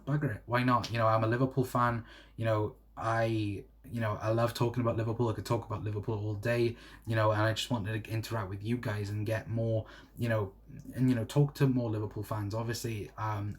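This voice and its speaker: A young Welsh man in his twenties hosts a casual livestream and chats loosely through viewer comments. His voice sits a little low. He rambles and fills pauses with "uh" and "to be fair". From South Wales. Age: 20s. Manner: rambling, many fillers and "to be fair". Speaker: livestream host.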